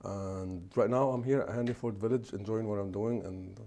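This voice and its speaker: A middle-aged man, an executive chef answering interview questions in a halting way, often restarting as he searches for words. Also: lowish voice, steady tone, warm tone. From Lebanon.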